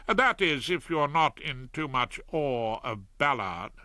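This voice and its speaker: A man voicing a brisk, businesslike woman barrister. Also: medium pitch, very animated, bright, forceful tone, no echo, heavily acted. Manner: crisp and businesslike.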